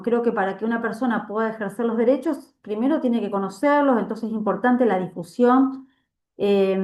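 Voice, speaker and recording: A woman moderating an academic seminar on the rights of older people, explaining her points in a measured way. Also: fairly high pitch, dull audio. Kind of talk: academic discussion. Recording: echoey room on a video call.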